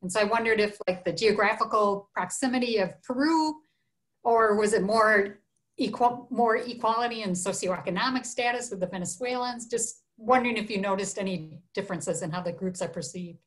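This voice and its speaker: A woman's voice: medium pitch, fairly quick, low-quality recording. A woman moderating an academic webinar on a video call, asking questions politely.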